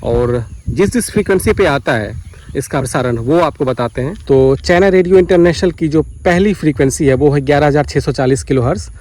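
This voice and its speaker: A man's voice: fairly high pitch, fairly quick, darkish tone. A man from India, explaining things methodically in a vlog tutorial and repeating key details. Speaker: vlogger presenting a radio tutorial. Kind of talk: explanatory vlog commentary while demonstrating. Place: outdoors beside farm fields.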